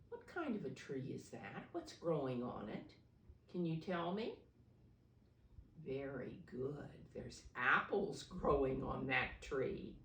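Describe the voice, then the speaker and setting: A woman reading a picture book aloud to small children, pausing to ask simple questions and explain. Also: a bass voice, slight background noise. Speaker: preschool storybook reader, teacher figure. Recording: roomy echo, distant mic.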